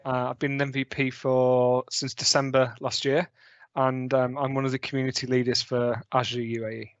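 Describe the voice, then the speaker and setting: A man's voice: medium pitch, flat delivery, normal speed, no echo. A man from the UK, a community leader, briefly introducing himself on a video call. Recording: dry dull video call audio.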